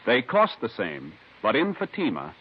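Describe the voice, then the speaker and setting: A man's voice: medium pitch, wildly swooping pitch, normal speed, husky. A man with an American accent announcing formally as on 1950s radio. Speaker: programme announcer. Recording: slightly dull old radio recording.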